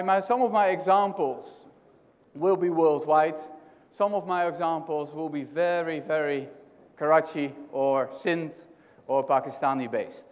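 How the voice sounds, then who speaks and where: Keynote speaker: middle-aged man from the Netherlands with a Dutch accent, chatty, high voice, echoing hall. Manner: conversational, restarts often, asks the audience questions. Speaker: conference speaker. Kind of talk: keynote talk. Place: large hotel conference hall. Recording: hall reverb.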